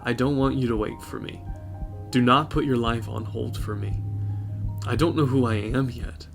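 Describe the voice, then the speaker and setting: A man's voice: medium pitch, fairly even intonation, normal speed, gravelly, natural voice. A young man acting in an audio drama as a conflicted, remorseful boyfriend, speaking earnestly and apologetically. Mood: earnest, anxious. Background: plucked guitar music.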